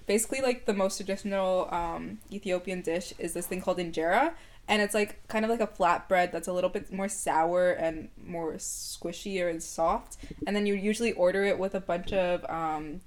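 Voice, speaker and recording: A young woman from Canada talks as a guest in a casual conversation. Her manner is relaxed and chatty, and she readily agrees with her co-host. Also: mid-range voice, normal speed. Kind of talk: casual chat.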